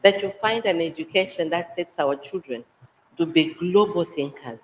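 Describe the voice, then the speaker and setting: Soft-spoken woman in an echoey hall. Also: fairly low pitch, moderate pace, slight background noise. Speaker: audience member asking a question. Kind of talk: audience comment at a conference. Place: conference hall.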